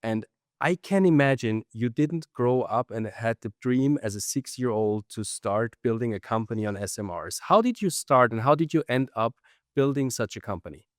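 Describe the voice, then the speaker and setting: A man's voice: mid-range voice, lively intonation, moderate pace, dry recording. Guy hosting an interview podcast, mid voice, really dry sound. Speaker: podcast host.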